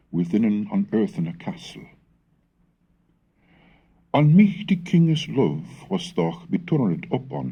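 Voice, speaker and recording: A scholarly man with a low, dark voice narrates an educational cassette from the 1970s. His delivery is unhurried and husky, with wide, expressive swings in pitch. He sounds like a measured lecturer who relishes reciting antique verse. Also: highs cut off. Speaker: reader and lecturer on English language history. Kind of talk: scripted educational narration with dramatic recitation of Middle English texts.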